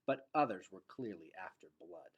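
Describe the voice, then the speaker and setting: A man reading a story aloud in a dramatic, emphatic and expressive narrating style. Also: sits low, moderate pace, slightly rough, highs cut off. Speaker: story reader.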